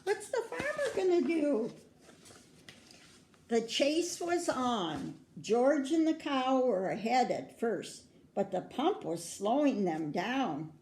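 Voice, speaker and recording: An older woman with an American accent reads a picture book aloud to children in a grandmotherly way, pausing to put questions to her young listeners. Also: sits high, sing-song, a little mellow. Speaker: children's story reader. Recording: roomy, echoing indoor sound.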